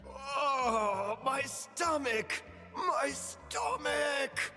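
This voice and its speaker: An actor playing a hapless, panicky young knight in a video game, loud and exaggerated in manner. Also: male voice, very high-pitched, very slow, in a large hall, soft background music, full character voice. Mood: agonized. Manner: exaggerated, wailing, shouting.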